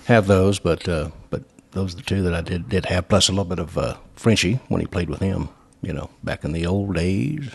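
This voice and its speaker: A man's voice: deep voice, a little fast, vocal fry, darkish tone, husky. An older man, hosting a show in casual, folksy, rambling chat. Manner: folksy, rambling, repeats filler phrases. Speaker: radio show host.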